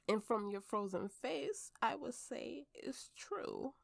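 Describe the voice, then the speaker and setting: A woman's voice: fairly high pitch, gravelly, no room sound, full character voice. A woman voicing a drunken, playful and imperious warrior woman in an ASMR roleplay. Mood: bawdy, teasing. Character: tipsy, haughty, flirtatious warrior woman with a slave. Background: soft background music.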